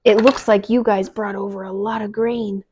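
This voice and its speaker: A woman's voice: medium pitch, flat delivery, normal speed, warm tone, a little hiss or hum, close to an everyday voice. A woman voicing a friendly, obliging miller in a bedtime story. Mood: welcoming.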